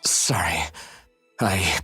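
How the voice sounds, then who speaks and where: A man playing an earnest, protective young swordsman in a video game, speaking haltingly and anxiously. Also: low voice, pushed and bright, breathy edge, no echo, soft background music, exaggerated voice. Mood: flustered. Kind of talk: video game cutscene voice acting.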